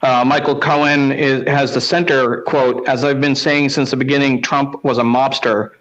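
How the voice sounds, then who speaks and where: A man hosting a casual live show, reading things out in short, halting phrases with pauses between them. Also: medium pitch, level tone, fairly bright tone, lo-fi sound. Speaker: host of a live newspaper read-along.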